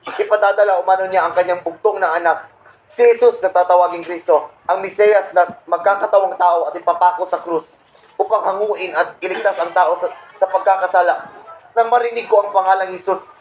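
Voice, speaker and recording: A man playing a proud, resentful Satan who tells his schemes indignantly in a comedic radio play. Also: high-pitched. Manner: boastful, indignant storytelling. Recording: roomy echo, some background noise.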